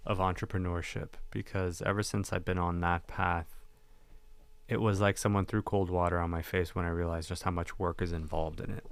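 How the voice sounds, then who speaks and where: A man's voice: deep voice, clear, resonant tone, no echo. A man hosting an interview podcast, talking in a conversational way. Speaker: podcast host.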